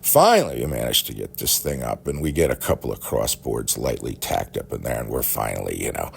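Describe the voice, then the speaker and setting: A man telling a rambling personal story in a halting, folksy, animated way, with a gravelly, husky voice. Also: very deep voice, a little hiss or hum.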